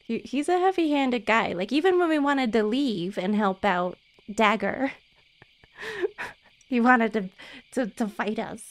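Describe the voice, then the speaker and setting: A young woman chatting casually to viewers as a game livestreamer, expressive and giggly, on a close desk mic. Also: fairly high pitch, a little slow, long pauses. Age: young adult. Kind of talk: casual chat with stream viewers. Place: indoor room, streaming setup. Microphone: close desk microphone on arm. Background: faint game music.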